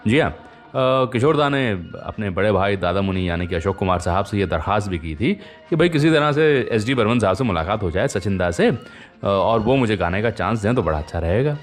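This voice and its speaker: A man's voice: fairly low pitch, lively intonation, a little fast, faint music behind. A man hosting a radio show, telling anecdotes in a chatty, conversational way and speaking directly to his listeners.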